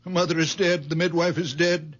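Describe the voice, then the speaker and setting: A man's voice: on the high side, little pitch movement, a little fast, lightly acted. An actor playing a stubborn, very old father. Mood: insistent. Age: older. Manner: quiet, then fiercely insistent. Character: very old man, father, frail yet stubborn. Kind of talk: 1970s radio drama acting.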